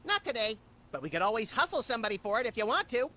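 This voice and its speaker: An actor playing a manic, gleefully destructive rabbit in a video game, tossing off sardonic quips. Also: male voice, extremely high pitch, very animated, fast, sharp tone, slight background noise, heavily acted.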